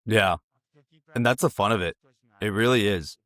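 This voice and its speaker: Low-voiced man hosting an interview. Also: normal speed, a bit bright, dry recording. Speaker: podcast host, interviewer. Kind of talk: conversational interviewing.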